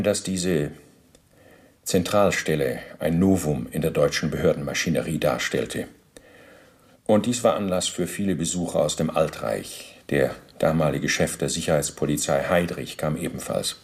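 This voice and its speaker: A former SS officer giving testimony in a radio drama. The voice is low, and the wording is stiff officialese. He justifies himself in pedantic detail and stays formally deferential to his interrogator. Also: lively intonation, normal speed. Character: male former SS officer under interrogation, self-justifying bureaucrat. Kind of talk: radio play, testimony monologue.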